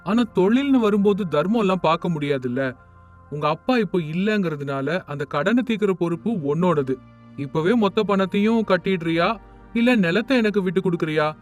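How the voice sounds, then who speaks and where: Casting: man to play formal, unbending village moneylender in animated tale, higher-pitched voice, faint music bed. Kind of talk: animated moral story dialogue. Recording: music under the voice.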